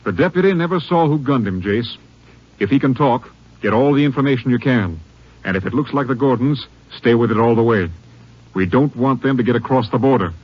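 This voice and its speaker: A man acting a businesslike, commanding Texas Ranger captain in an old-time radio western, brisk and authoritative. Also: mid-range voice, animated, close to an everyday voice. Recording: dull old radio recording.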